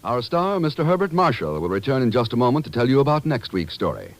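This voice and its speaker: A man's voice: mid-range voice, sing-song, quick pace, over loud music. An American man working as a 1950s radio announcer, reading scripted copy in a polished, emphatic way on a vintage broadcast.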